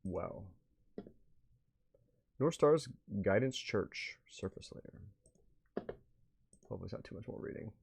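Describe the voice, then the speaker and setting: A man with a fairly low, gravelly voice is streaming a game. He reads text aloud in a measured way, then slips into casual, muttered asides to his viewers. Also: animated, a little mellow. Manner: drifts between measured reading and offhand muttered commentary.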